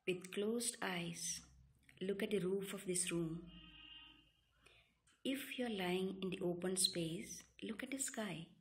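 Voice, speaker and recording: A woman leads a guided relaxation meditation, giving calm, soothing instructions in short, separated phrases. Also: on the low side. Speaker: yoga nidra meditation guide.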